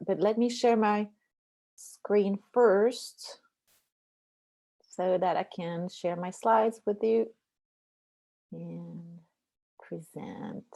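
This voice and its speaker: Middle-aged French woman, accented English, easygoing presenter over a computer mic. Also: medium pitch. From France. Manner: relaxed, many ums and uhs. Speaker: beekeeping presenter. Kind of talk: online slide presentation.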